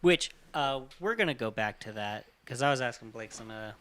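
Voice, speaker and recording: A man on a casual podcast with a loud, expressive, teasing way of talking. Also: mid-range voice, moderate pace. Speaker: podcast co-host. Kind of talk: casual chat. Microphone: XLR studio mic.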